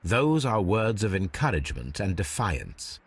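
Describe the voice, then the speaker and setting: An actor playing a brilliant, unflappable Victorian detective in a game, with an English accent and precise, formal, measured delivery. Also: male voice, on the low side, sing-song, breathy edge, no room sound, full character voice. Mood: musing, analytical. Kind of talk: scripted game dialogue and deductive narration.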